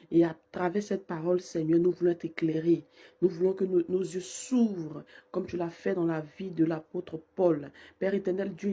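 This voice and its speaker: Woman prayer leader, hoarse from a cold; dark timbre, flat fervent repetitions. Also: lowish voice. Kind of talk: live-streamed morning prayer.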